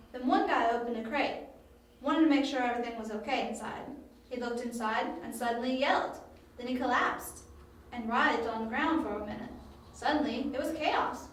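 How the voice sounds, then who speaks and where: A spirited young female informant, played by a woman in a homemade radio play, high in pitch and chatty with a cheeky, grudging edge. She sounds distant from the mic, in a strongly echoing room. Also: normal speed, natural voice. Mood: eager, recounting. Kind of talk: amateur audio drama acting. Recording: distant, very echoey, faint room noise.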